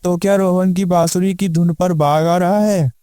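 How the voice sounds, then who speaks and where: A man's voice: high voice, steady tone, normal speed, warm tone, clean tone, no echo, clean studio sound, close to an everyday voice. A man playing a worried, suspicious village man in a cartoon moral story, emphatic and questioning. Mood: sceptical. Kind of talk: Hindi animated moral story acting.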